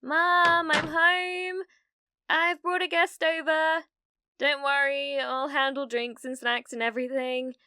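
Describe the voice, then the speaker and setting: A British woman playing a needy, delusional young gamer in an ASMR roleplay, hesitant and stammering, blithely casual about dark things. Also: high voice, little pitch movement, slow pace, no echo, heavily acted. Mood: cheerfully calling.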